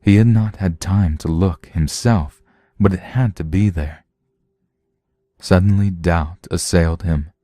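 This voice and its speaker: A man with a low, dark voice narrates a suspense story. He reads slowly and deliberately, in a measured dramatic style, recorded close and dry. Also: no room sound.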